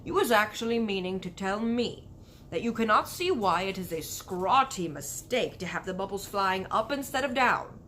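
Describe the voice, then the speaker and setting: A woman reader puts on a big, proud male giant from a storybook. He is excitable and easily indignant, swooping up and down as he stretches out his exclamations in muddled grammar. Some noise sits behind the voice. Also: medium pitch, moderate pace, lightly acted.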